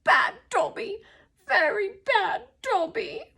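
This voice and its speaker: A woman's voice: very high voice, lively intonation, takes it slowly, gravelly, sharp tone, pitched above the performer's own voice, heavily acted. A woman voicing a small male house elf for a storybook, servile, fearful and anguished, squealing and moaning as he pleads. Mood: self-scolding. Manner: pleading, stammering, tearfully dramatic.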